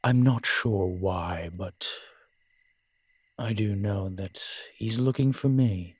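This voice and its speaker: Man playing slow, grave, formal old vampire. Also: lowish voice, a little mellow, breathy edge, dry recording. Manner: slow, formal, courtly diction. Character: ancient proud male vampire, formal and grave. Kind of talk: dramatic audio roleplay acting.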